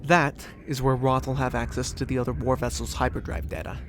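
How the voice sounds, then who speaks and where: A man's voice: medium pitch, fairly even intonation, brisk. A man playing an earnest, urgent inventor in a fan audio drama. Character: earnest male hyperdrive inventor. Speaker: Gordon, an engineer. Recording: with clear background noise.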